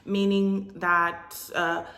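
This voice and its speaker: Slow, halting woman in an echoey room. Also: on the low side, little pitch movement, pushed and bright. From Hispaniola. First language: Haitian Creole. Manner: halting, many pauses and filler sounds. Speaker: religious vlogger. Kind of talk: direct-to-camera prophetic message.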